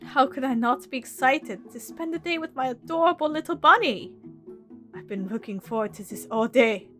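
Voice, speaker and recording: A woman's voice: sits high, expressive, normal speed, a bit bright, soft background music. A woman voicing a male Queen of Hearts, a doting, commanding royal with an affectionate, regal manner, in a storybook reading. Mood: loving.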